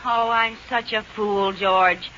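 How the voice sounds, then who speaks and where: An actress playing a scatterbrained, demanding but timid American housewife in 1940s radio comedy, theatrical and exasperated, on an old recording. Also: female voice, mid-range voice, fairly even intonation, slow pace, pushed and bright, muffled recording, slight background noise. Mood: earnest.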